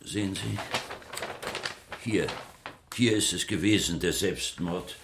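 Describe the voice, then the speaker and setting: A man's voice: low-pitched, slow, noisy background. A man playing an eerily enthralled art historian in radio play dialogue, talking with conversational urgency. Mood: fascinated, insistent.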